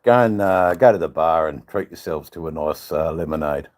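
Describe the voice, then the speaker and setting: An older man with an Australian accent and a husky voice, chatting casually to livestream viewers in a rambling, drawn-out, joking way. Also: rumbling low, a little mellow. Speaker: livestream channel host. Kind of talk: casual live chat with viewers.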